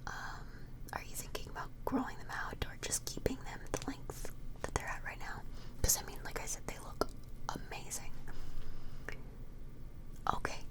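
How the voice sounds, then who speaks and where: A woman doing an ASMR personal-attention role play in a gentle, hesitant, breathy whisper, very close to the microphone. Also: normal speed, pauses often, poor audio. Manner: gentle, hesitant, murmured admiring asides. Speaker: ASMR performer.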